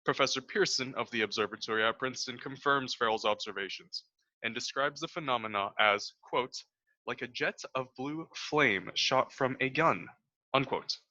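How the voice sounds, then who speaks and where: A young man playing a formal radio news announcer in a radio play, reading a bulletin in a measured way. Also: medium pitch, sharp tone, no echo, highs cut off. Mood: serious. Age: young adult. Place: room at home. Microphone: headset mic.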